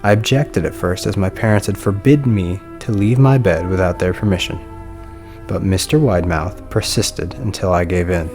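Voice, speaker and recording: A man's voice: deep voice, moderate pace. A man reading a scripted first-person horror story as its narrator. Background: soft music.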